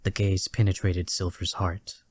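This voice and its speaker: A man narrating a scripted dramatic story in a measured, literary storytelling manner. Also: low-pitched, level tone, darkish tone, dry recording, studio quality.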